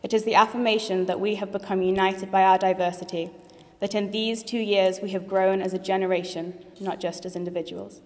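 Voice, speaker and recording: A graduating girl in her late teens speaks at a leavers' assembly, earnest and heartfelt, with the odd joke. Her voice sits at an ordinary middle pitch for a young woman. The sound carries the long reverb of a large hall. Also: steady tone, brisk, hardly any pauses. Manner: earnest and heartfelt, with light jokes. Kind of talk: formal graduation speech.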